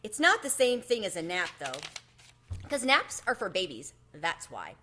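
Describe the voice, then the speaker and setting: A woman reading a children's book aloud expressively, in an animated storytelling manner. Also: mid-range voice, moderate pace. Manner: animated, acts out the dialogue.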